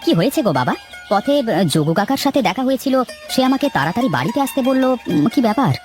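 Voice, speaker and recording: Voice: mid-range voice, big pitch swings, fast, natural voice. Earnest young village tutor voiced by an actor, over music. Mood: curious. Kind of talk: Bengali cartoon story dialogue. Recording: loud music under the voice.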